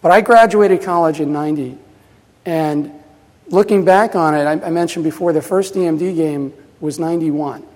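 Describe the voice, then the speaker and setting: A man's voice: fairly high pitch, normal speed, darkish tone. A man in his fifties giving a casual, rambling presentation at a pinball festival, full of fillers and restarts. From New Jersey, USA. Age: 50s. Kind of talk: conference talk. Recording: reverberant hall.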